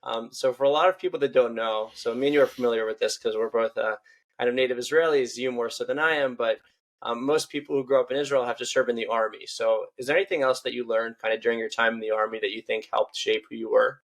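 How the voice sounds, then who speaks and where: A young adult man hosting a podcast, asking relaxed questions with many fillers. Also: medium pitch, quick pace, poor audio. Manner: friendly, filler-heavy questions. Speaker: podcast host. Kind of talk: casual interview hosting.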